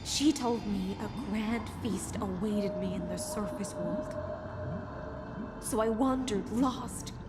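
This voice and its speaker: A woman's voice: medium pitch, natural voice. A woman playing a lonely, dutiful sea siren in human form, speaking a solemn, mournful game monologue. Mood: lost, despairing. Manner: slow, mournful, poetic delivery. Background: orchestral game music.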